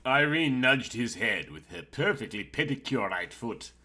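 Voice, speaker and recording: A man narrates a dramatic story in a bright, forceful voice. His pitch swings widely as he reads scripted prose, with a slightly rough, husky texture. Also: expressive, unhurried. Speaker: narrator reading a novel chapter.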